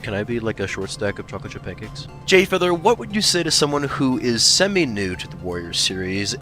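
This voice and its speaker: Bright, lively tomcat announcer played by a man. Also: fairly low pitch, a little fast. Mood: playful. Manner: deadpan, teasing, goofy. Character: playful, teasing tomcat warrior hosting questions. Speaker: announcer reading fan questions. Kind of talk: improvised in-character fan Q&A. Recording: music behind the voice.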